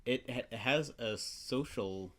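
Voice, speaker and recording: A man's voice: medium pitch, takes it slowly. A man co-hosting, talking casually and reading aloud from a book. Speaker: co-host.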